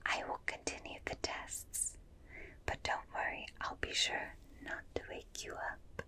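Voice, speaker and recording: A woman voicing a gentle, curious alien examiner in a breathy whisper, slow and soothing, in an ASMR roleplay. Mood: gentle, reassuring. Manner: slow, soothing, softly clinical, repeats phrases. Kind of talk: ASMR roleplay, personal attention.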